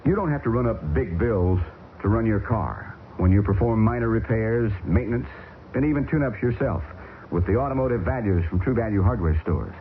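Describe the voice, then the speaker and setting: A man reading a radio commercial in a brisk, friendly sales pitch. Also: lively intonation. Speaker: commercial announcer. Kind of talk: scripted radio advertisement. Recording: tinny old radio broadcast with hiss.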